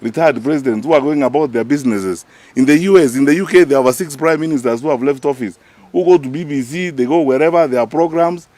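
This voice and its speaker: A man's voice: fairly high pitch, quick pace, darkish tone. Kenyan male, party official addressing the press; emphatic, indignant, unscripted political delivery. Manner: emphatic, indignant, rhetorical questioning. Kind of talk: press conference statement, spoken off the cuff.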